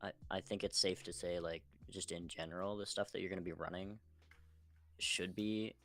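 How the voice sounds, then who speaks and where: A man's voice: deep voice, fairly even intonation, normal speed, a bit bright, faint music behind. A man co-hosting a casual gaming podcast in a tentative, hedging way, repeating words as he goes. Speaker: podcast co-host.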